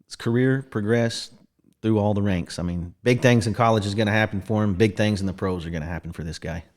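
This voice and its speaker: A man's voice: on the low side, a little fast, a little mellow. Folksy man co-hosting. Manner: chatty and folksy, chuckling and saying "boy, howdy". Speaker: podcast co-host. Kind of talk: casual podcast chat.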